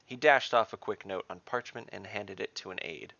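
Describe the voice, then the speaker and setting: A man's voice: on the low side, moderate pace, vocal fry, sharp tone, no room sound. A man narrating a scripted fantasy story, recorded up close with a slightly dull sound.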